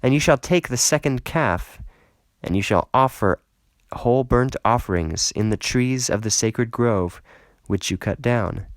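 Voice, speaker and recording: A calm and commanding God as a male reader plays him speaks solemnly in a scripture reading. The delivery is slow and deliberate, in a medium male pitch with a dry, close sound. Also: no room sound, close to an everyday voice.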